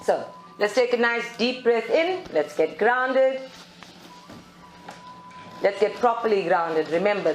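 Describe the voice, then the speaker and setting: A woman leading a guided spiritual meditation in slow, deliberate phrases with long pauses. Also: mid-range voice. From South Africa. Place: group meditation room at a centre. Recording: live roomy recording with faint music. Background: faint music.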